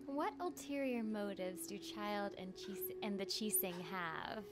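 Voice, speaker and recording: A woman's voice: mid-range voice, measured pace. A young woman chatting casually and playfully on a game livestream, giggly and given to little exclamations. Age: young adult. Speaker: game streamer and voice actress. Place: indoor room at home. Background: video game music.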